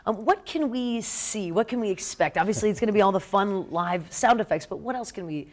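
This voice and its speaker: A woman's voice: mid-range voice, expressive, fairly quick. An American woman hosting an interview, chatting in an upbeat broadcast style with enthusiastic reactions. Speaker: TV morning show host. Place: TV studio.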